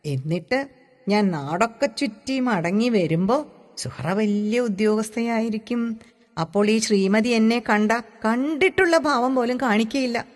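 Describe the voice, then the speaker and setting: A woman's voice: on the high side, unhurried. A woman reading the part of a boastful, teasing village boy who dreams of travel, in a playful storybook manner. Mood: wistful teasing. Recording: long hall-like reverb.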